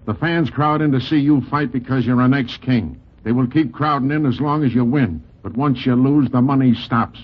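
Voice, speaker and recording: An actor playing a hard-nosed, domineering boxing manager, curt and slangy, in a 1940s gangster radio drama. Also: male voice, medium pitch, normal speed, hardly any pauses, close to an everyday voice. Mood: hard, pressuring. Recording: muffled old radio recording.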